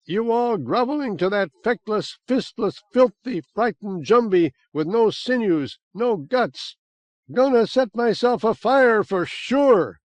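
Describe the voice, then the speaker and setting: Guy voicing a wild manic monk dude, yells high and loud, dry sound. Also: lively intonation, extremely slow, few pauses, no echo, exaggerated voice. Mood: frantic. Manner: yelling, frantic. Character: excitable eccentric male monk, manic and wild.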